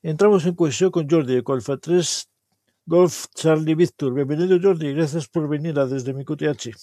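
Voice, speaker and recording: Measured man, fairly high voice. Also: mellow. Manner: measured, reads lists of places evenly. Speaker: host of an amateur radio program. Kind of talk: scripted explainer then interview.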